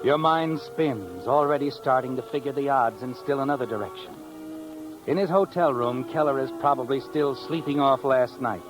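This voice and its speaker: A man playing the knowing, taunting narrator of a 1950s radio mystery, addressing the schemer with dramatic pauses. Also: mid-range voice, lightly acted, low-quality recording. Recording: old radio recording with music behind.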